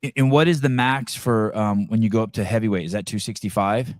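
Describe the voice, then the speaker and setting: A man's voice: on the low side, brisk, darkish tone, dry recording, clean studio sound. A man from California hosting a casual podcast interview, joking and rambling, often stumbling and restarting his sentences. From Santa Cruz, California. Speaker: podcast host.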